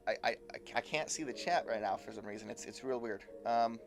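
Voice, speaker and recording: A man giving casual live commentary while playing a game, halting, repeating words and trailing off mid-thought. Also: medium pitch, vocal fry. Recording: game music behind the voice.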